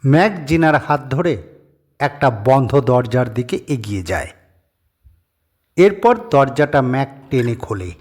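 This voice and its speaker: A man narrating a scripted horror story as its reader, measured, pausing between short phrases. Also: medium pitch, expressive, a little mellow.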